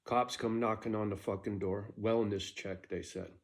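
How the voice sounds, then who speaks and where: A man's voice: fairly low pitch, steady tone, normal speed. Blunt, profane middle-aged man telling stories. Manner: blunt, profane, conversational with frequent "right?". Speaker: storyteller telling his own life story. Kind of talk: casual personal storytelling.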